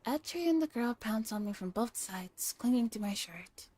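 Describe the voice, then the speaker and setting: A soft-spoken woman streaming a gentle read-aloud in an ASMR style, sometimes slipping into breathy near-whispers. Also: a little high, lively intonation, normal speed. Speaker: streamer reading a visual novel aloud.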